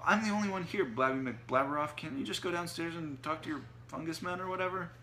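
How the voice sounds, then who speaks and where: A man's voice: mid-range voice, fast, fairly bright tone, slight background noise, close to an everyday voice. A man voicing a sarcastic, impatient woman with a snappy manner in a story reading. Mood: snapping.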